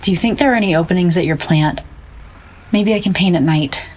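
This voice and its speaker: A woman acting as blunt painter, self-doubting, wry, lowish husky voice, terse, audio drama. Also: a little fast, a little mellow, natural voice. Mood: despairing.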